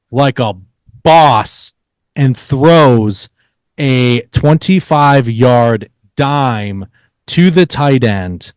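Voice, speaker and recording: A man co-hosting a podcast, telling stories in a casual, halting way and repeating words as he searches for the next. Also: medium pitch, a little mellow, smooth, no echo. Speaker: podcast co-host. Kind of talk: casual sports storytelling.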